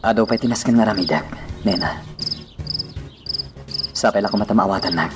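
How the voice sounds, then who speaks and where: An actor plays an earnest young village suitor with a fairly low voice and an Ilocano Filipino accent. Loud radio-drama music runs behind him. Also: male voice, brisk, lightly acted.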